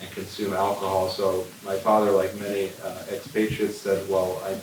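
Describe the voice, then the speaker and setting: A man's voice: low voice, completely flat delivery, normal speed. Sex: man. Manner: good-humored storyteller. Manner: rambling, good-humored, frequent restarts. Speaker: winemaker on a panel. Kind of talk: casual panel storytelling. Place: event room. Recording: echoey room, distant mic, hiss.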